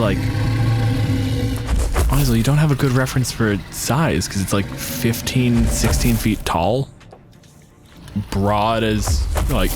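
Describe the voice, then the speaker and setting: A man improvising narration as game master, casual and wry, swearing freely. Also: fairly low pitch.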